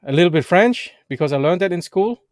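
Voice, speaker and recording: German-native man hosting a livestream, fairly high voice, dry close sound, halting and animated. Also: no echo. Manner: halting, restarts and fillers, animated. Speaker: vlog host. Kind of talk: casual livestream chat. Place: Edmonton, Canada.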